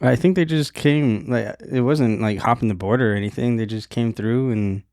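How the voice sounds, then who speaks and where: A man from Las Vegas on a podcast, answering in a hesitant, low-key way with short replies. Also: normal speed, darkish tone, dry recording, studio quality. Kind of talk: casual chat.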